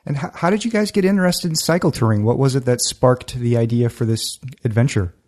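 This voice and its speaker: A male podcast host with an upbeat, friendly manner. He reads a scripted introduction, then moves to interview questions, with a voice of middling pitch. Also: fairly quick, a little mellow.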